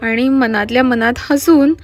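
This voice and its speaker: High-voiced woman telling children's stories over music. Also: measured pace, lo-fi sound. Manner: expressive, addresses children directly. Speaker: children's storyteller. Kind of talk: scripted storybook narration for children. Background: background music.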